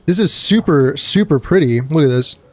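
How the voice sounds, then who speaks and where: A man streaming a game, his voice a little gravelly and dry today, rambling casually and greeting viewers between trailing fillers. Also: fairly high pitch, expressive, normal speed, a little mellow, soft background music. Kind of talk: casual live-stream chat.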